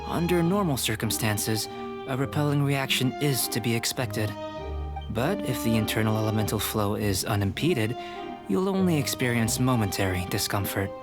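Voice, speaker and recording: Man voicing a cool young alchemist, measured, lecturing, game music underneath. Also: medium pitch, natural voice. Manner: measured, lecturing, coolly confident. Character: calm young male alchemist scholar, composed and aloof. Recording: studio game voice with music behind.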